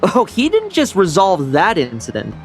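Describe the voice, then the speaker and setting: An actor playing a sly, cheerful young knight in a game, breezy and smooth-talking. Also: male voice, high-pitched, wildly swooping pitch, moderate pace, soft background music.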